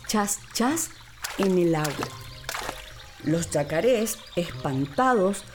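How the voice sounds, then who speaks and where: A woman narrating a scripted children's story in an expressive, theatrical way. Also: on the low side, a little hiss or hum.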